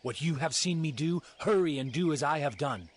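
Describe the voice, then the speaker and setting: A man playing a ruthless warlord commanding his men, gravelly and urgent, in a dramatized scripture reading. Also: on the high side, normal speed, lightly acted.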